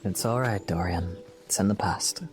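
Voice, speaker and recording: A man playing a calm, kind young knight in an audio drama, reassuring and forgiving. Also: sits low, moderate pace, soft background music, lightly acted.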